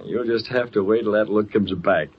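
An actor playing a calm, shrewd male newspaper publisher in 1940s radio drama, speaking in a measured, knowing way. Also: fairly low pitch, lightly acted. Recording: dull noisy old radio recording.

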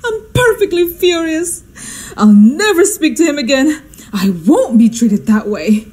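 A woman acts out an imperious society hostess in a radio play. Her voice is high and swings widely, with drawn-out, slow delivery. She is melodramatic, easily outraged and forever scolding. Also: very animated, a little mellow. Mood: outraged, wailing. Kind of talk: radio drama acting.

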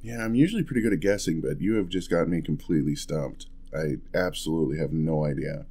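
A man playing an affectionate, playful young husband in an ASMR roleplay, talking softly and tenderly in a casual, hesitant way. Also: rumbling low, fast talker. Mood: playful, admiring. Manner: soft, hesitant, chuckling, tender. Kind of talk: ASMR boyfriend roleplay, intimate casual chat.

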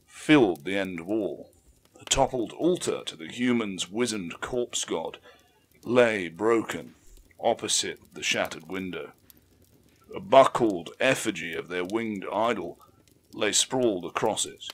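Male narrator, fairly low register, for dramatic fiction audiobook; deliberate, solemn storytelling.

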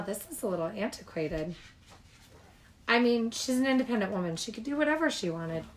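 A woman reading picture books aloud to young children as a storytime host, with animated delivery and chatty asides. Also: mid-range voice, low-quality recording.

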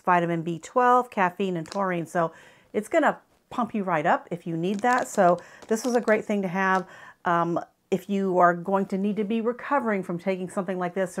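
A woman in her fifties with an American accent, chatting and explaining as she reviews travel products to camera. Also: fairly low pitch. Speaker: travel gear vlogger. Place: small furnished living room, indoors.

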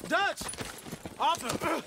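Breathless, high-voiced young outlaw. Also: male voice, animated, drawn-out words, background noise, full character voice. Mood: frantic. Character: frantic young male outlaw bringing bad news. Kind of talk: western video game acting.

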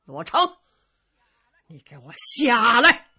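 Storytelling role: hot-headed general, played by a woman, forceful, defiant, emphatic, with wide swings in pitch. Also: huge pitch swings, unhurried, breathy edge, heavily acted. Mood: taunting challenge. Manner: loud, emphatic, challenging. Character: fierce, hot-tempered, defiant male warrior general.

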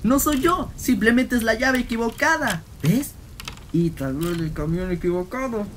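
A man's voice: high-pitched, normal speed, a bit bright, a little hiss or hum. A man voicing a manic young gangster cat in a cartoon, florid, theatrical and gleefully wordy. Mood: taunting. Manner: theatrical, florid, mock-philosophical. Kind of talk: animated fan comic dub. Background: rain.

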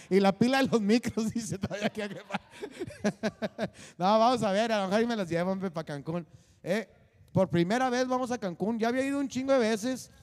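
A man's voice: sits high, moderate pace, pauses often, in a large hall. A man, hosting a casual comedic monologue with crude jokes and lots of fillers, talking into a handheld mic at a desk. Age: 40s. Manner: rowdy, crude joking, many fillers. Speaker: comedian and show host. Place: small studio set with drum kit behind a desk.

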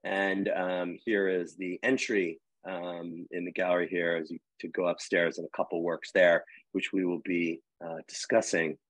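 A man's voice: deep voice, flat delivery, normal speed, no room sound, low-quality recording. A middle-aged man hosting a live video call conversation, rambling with many fillers and restarts, wearing earbuds. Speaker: host, editor-at-large. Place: home room with wood panel wall.

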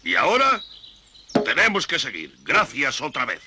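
A man's voice: medium pitch, wildly swooping pitch, moderate pace. A man voicing a pompous, strict ant colonel in a dubbed children's cartoon, speaking formally and with command. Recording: music behind the voice.

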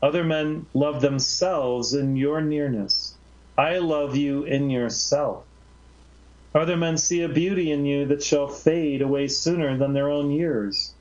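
A man reading the lines of a serene male teacher, speaking low, tenderly and slowly. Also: fairly even intonation, darkish tone, close to an everyday voice. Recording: slightly dull lo-fi recording.

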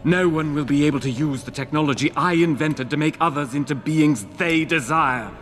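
An actor playing an aging, crippled visionary inventor in a video game, eloquent and theatrical, grandiose, bitter and anguished. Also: male voice, fairly high pitch, fairly bright tone, close to an everyday voice. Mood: grave resolve. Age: older. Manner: eloquent, theatrical, deliberate oratory. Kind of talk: video game cutscene acting. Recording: roomy game audio with faint music.

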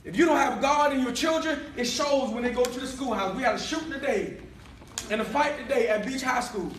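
A man preaching a sermon in a church hall, calling for responses and rising to shouts, recorded by a far-off camera. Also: very high-pitched, fairly quick, slight background noise. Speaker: pastor.

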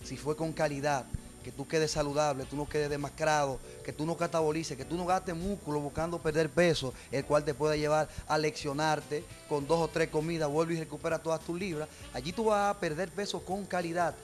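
A man's voice: a little high, fairly even intonation, a little fast, faint music behind. A young man, a gym trainer explaining his program in a TV studio interview, earnest and hesitant, into a handheld mic. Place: TV studio set.

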